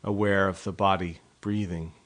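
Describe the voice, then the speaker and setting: A man leading a guided body scan meditation in a slow, soft, unhurried way. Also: low-pitched, fairly even intonation.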